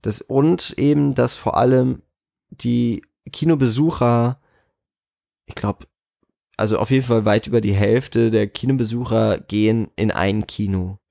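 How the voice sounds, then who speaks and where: A man in a casual interview as a guest, slightly croaky from a cold, speaking in a hesitant, reflective way. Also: medium pitch, a little slow, mellow, no echo, studio quality. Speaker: interview guest, cinema manager.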